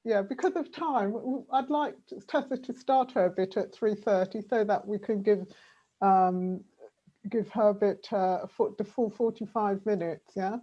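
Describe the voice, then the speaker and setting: A woman facilitating an online meeting, brisk and encouraging and a little flustered as she manages the schedule. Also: medium pitch, mellow, dull audio.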